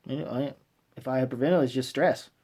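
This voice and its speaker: A man giving casual, rambling vlog commentary, haltingly repeating himself and trailing off mid-thought in informal language. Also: mid-range voice, a little mellow. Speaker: vlogger hosting a fan channel. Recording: clearly noisy recording with clicks.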